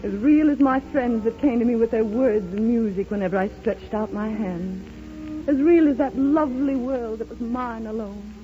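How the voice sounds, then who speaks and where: An actress playing a blind, wistful, proud dreamer in a 1930s radio drama, speaking with theatrical emotion and deliberate pauses. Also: female voice, on the high side, close to an everyday voice. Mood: dreamy, earnest. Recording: old dull 1930s radio recording, music behind.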